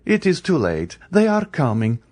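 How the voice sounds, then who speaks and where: A man's voice: fairly high pitch, wildly swooping pitch, moderate pace, a little mellow, voice pushed up. A man voicing a proud young woman who speaks coldly and curtly. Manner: cold, curt.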